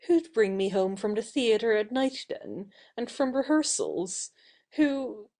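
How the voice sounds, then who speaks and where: A woman playing a playful, wilful young actress in an audio play, brisk and coquettish with her suitor. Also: a little high, sing-song, a little mellow, heavily acted. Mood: dismissive, softening.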